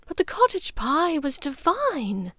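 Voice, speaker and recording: A refined middle-aged witch, acted by a woman narrator, speaks in a raised, high register. She is measured and precise yet emotional, as in a storybook, on a very dry recording. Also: huge pitch swings, pushed and bright, no echo, heavily acted. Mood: amused, fond. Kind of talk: audiobook character dialogue.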